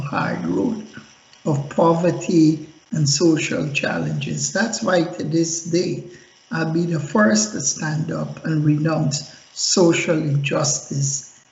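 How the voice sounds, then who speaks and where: Guyana-born man, earnest speechy intro, kinda high voice, roomy dull sound. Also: creaky, a little mellow. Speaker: panel guest. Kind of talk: radio panel discussion. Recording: echoey, dull lo-fi line.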